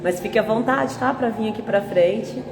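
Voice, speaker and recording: A Brazilian woman giving a gentle, conversational spiritist talk with a nasal, congested voice from a cold. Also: fairly high pitch, moderate pace, vocal fry. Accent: Brazilian Portuguese. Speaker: spiritist lecturer. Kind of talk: public talk with opening prayer. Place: large hall of a spiritist center. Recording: echoey hall with long reverb.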